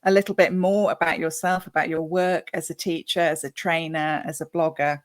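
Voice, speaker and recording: A woman's voice: sits low, level tone, normal speed. A woman hosting a live interview in a conversational, informal way. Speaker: interview host.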